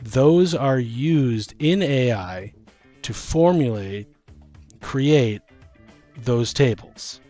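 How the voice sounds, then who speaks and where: A man talks casually as if on a podcast, at a medium pitch. He is informal and works through what-if examples to argue his view. Faint music plays behind him. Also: expressive, extremely slow, mellow.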